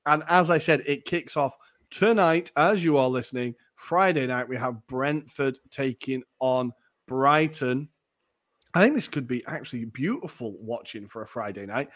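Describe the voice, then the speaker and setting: A young man hosting a casual football podcast, chatty and jokey with lots of ums, his voice a little raspy. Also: mid-range voice, lively intonation, moderate pace, a bit bright. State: slightly raspy voice. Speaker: podcast host.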